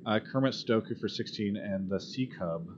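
A man's voice: on the low side, moderate pace. Guy hosting an esports call-in, casual and blunt, kinda muffled lo-fi sound with background noise. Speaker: host of an esports call-in show. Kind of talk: casual live talk show chat. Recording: dull lo-fi audio with clear background noise.

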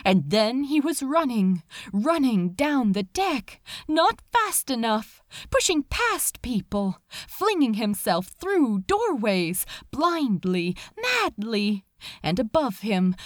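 A woman's voice: fairly high pitch, no room sound, clean studio sound. A woman reading a story aloud as a dramatic, emotive narrator with a sense of urgency. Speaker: fan fiction reader.

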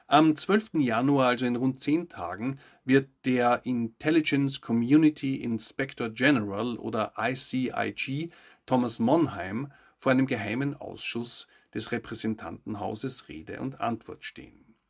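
A man's voice: medium pitch, unhurried. A man hosting a news update, reading scripted narration with short commentary. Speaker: host of a weekly news update show.

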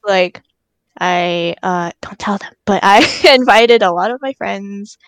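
A woman's voice: mid-range voice, slow pace, clear, resonant tone, no room sound. A woman in her early twenties in casual chat, giggly and telling stories with quoted asides.

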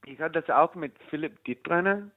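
A man from the United States, a musician, answering in halting German and slipping into English. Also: fairly high pitch, no echo. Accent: American. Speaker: musician guest. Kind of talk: casual interview in learner German. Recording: dry, phone-like line.

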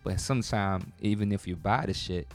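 A man's voice: deep voice, fairly quick, soft background music. A man from Japan, a guest rapper, telling stories in a laid-back, slangy way. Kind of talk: casual interview chat.

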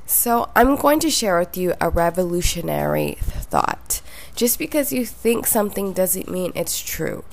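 A young woman talks through her solo podcast, her voice a little nasal from a cold. Her pitch sits low, with some gravel in it. She speaks in a reflective, conversational way. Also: very animated, measured pace. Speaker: podcast host.

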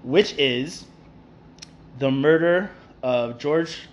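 A man's voice: on the high side, drawn-out words, dull audio, a little hiss or hum. A man from New York hosting a casual podcast, rambling with many fillers and restarts. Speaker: podcast host.

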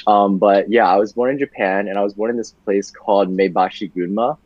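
A man's voice: on the low side, fairly quick, dry recording, muffled recording. Laid-back young man telling stories. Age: young adult. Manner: relaxed, filler-heavy, laughs easily. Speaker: podcast guest. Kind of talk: casual conversational storytelling.

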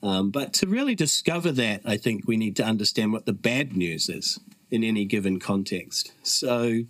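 A man's voice: fairly low pitch, lively intonation, moderate pace, fairly bright tone, no echo. A middle-aged man with a New Zealand accent, a podcast guest telling stories in a reflective, earnest way. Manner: reflective, earnest, with frequent fillers. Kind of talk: casual conversational storytelling.

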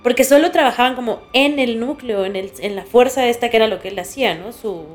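A woman's voice: on the high side, fairly quick. A woman chatting casually as a podcast co-host, checking details with questions in an inquisitive way. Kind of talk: casual chat. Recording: music under the voice. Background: background music.

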